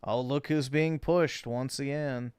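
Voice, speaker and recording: A man streaming and reacting to clips, talking in a blunt, crude, sarcastic and deadpan way. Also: medium pitch, measured pace, smooth, dry recording. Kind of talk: casual stream commentary.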